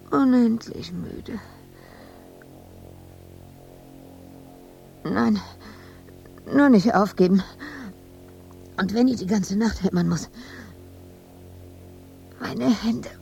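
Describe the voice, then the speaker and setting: An actress playing an anguished, exhausted, obsessive woman sculptor in a radio drama monologue, speaking in halting fragments that often sink into breathy whispers. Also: mid-range voice, warm tone. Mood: desperate.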